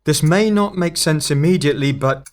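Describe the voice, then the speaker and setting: A man playing a curt, grave, mysterious time investigator who explains deliberately in an audio drama. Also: fairly high pitch, slight background noise, lightly acted. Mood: grave, explaining. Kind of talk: fan-made audio drama acting.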